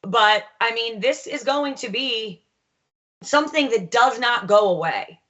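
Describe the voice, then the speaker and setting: A woman's voice: fairly high pitch, expressive, measured pace, bright, forceful tone, muffled recording. A woman panelist on a talk show, firmly explaining a case step by step.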